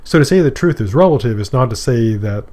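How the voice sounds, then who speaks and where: A middle-aged man from Maine lectures on philosophy at a mid pitch. He talks in a loose, conversational way and stops for examples, fillers and asides. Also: lively intonation, brisk, a little mellow. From Maine, USA. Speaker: philosophy professor. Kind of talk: recorded course lecture.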